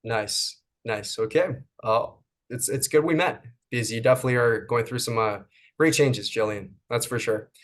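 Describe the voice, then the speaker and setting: A man's voice: mid-range voice, normal speed. A man hosts a casual interview as a fitness coach. He sounds friendly and encouraging, filling his questions with "um" and little restarts.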